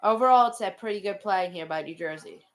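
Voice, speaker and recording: A woman's voice: mid-range voice, quick pace, no room sound. A woman doing live amateur hockey play-by-play and casual chat as host of a watch party, speaking into a headset.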